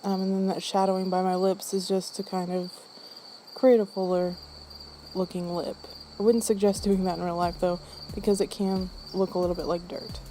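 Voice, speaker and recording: An unwell woman with throat trouble giving a casual makeup tutorial voice-over in a low-energy, subdued manner. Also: level tone, moderate pace, dark tone, a little hiss or hum. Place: voice-over recorded apart from the footage.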